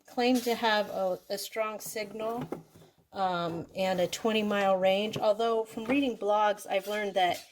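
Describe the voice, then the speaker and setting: A woman's voice: mid-range voice, a little mellow. A woman giving a casual, unscripted product demonstration, explaining things step by step in a conversational way. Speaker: product reviewer. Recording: clearly noisy recording with clicks.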